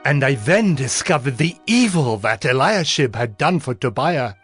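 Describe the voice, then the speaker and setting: Stern, emphatic actor playing zealous biblical governor, animated. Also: male voice, medium pitch, lightly acted. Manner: emphatic, declamatory. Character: Nehemiah, stern zealous male governor of ancient Judah. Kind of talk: dramatized scripture narration.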